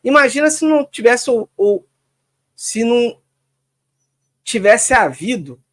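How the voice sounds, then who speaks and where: A man hosting a casual livestream, relaxed, reading viewer comments aloud and wrapping up. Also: very high-pitched, unhurried. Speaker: livestream host.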